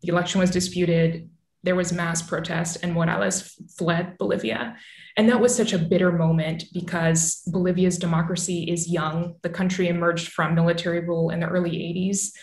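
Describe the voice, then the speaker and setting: A woman in her thirties explaining politics as an expert guest on a video call, articulate and measured. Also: fairly low pitch, little pitch movement, darkish tone. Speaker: newsletter author and analyst guest. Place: small home room with bookshelf, indoors. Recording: roomy, echoing video call audio.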